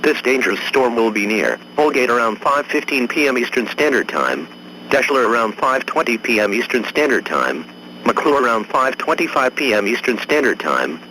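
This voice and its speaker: A man with a bright, forceful voice of middling pitch reads a formal emergency bulletin. Faint noise sits behind him, like a weather radio broadcast. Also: big pitch swings, normal speed, low-quality recording. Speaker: emergency alert announcer. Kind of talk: formal scripted warning bulletin.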